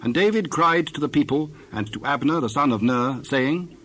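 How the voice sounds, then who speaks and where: A man narrating a dramatized scripture, reading scripted archaic text in a measured, formal way. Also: mid-range voice, animated, fairly bright tone, slight background noise.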